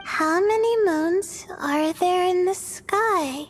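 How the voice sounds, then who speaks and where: A woman voicing a timid, polite young female student in a video game, asking things in a hesitant, halting way. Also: very high voice. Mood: polite, unsure. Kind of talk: video game character dialogue. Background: music.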